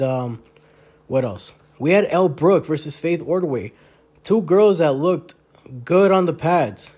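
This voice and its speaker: A man's voice: a little high, warm tone. A young man hosting his own podcast alone, congested and talking in a loose, rambling way with lots of fillers and restarts. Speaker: podcast host. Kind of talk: casual solo podcast talk.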